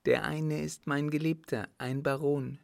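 A man's voice: fairly high pitch, level tone, unhurried, gravelly, no echo, clean studio sound, pitched above the performer's own voice. A man voicing a bold, cool-headed young woman in an audiobook, composed and defiant. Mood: urgent, commanding. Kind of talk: audiobook character voice.

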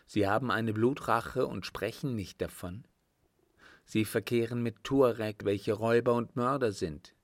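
A man voicing a suspicious younger desert man in an audiobook, with a deliberate, insistent and formal manner. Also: on the low side, no room sound, studio quality, natural voice. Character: earnest, suspicious younger desert man advising his elder.

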